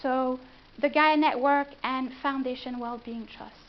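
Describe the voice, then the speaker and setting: A woman's voice: high voice, steady tone, measured pace. A woman with a French accent, a business consultant giving a live presentation and chatting with the audience. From France. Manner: chatty, engaging, asks the room questions. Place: event room before a live audience. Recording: dull lo-fi event recording.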